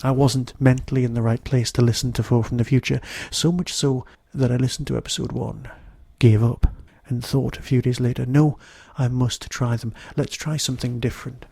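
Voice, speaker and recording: A male podcast host with a hoarse, creaky voice and a mellow tone. He talks straight to his listeners as a solo reviewer, recorded dry and close. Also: mid-range voice, no echo. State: hoarse from a throat infection.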